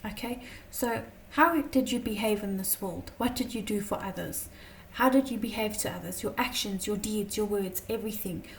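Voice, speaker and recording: A woman teaching a tarot lesson in a chatty, casual way, her voice slightly croaky from a long day of talking, often checking in with "okay?". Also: mid-range voice, normal speed, hardly any pauses, distant mic, slight background noise, rough recording. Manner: chatty, many fillers and "okay?" check-ins.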